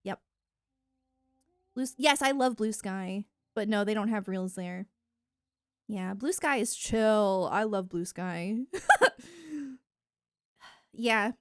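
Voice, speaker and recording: A woman's voice: medium pitch, slow, pauses often, clear, resonant tone, no echo. A woman with an American accent chats casually to livestream viewers in a rambling, informal, opinionated way, close on a streaming mic. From United States. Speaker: live streamer and content creator. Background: music.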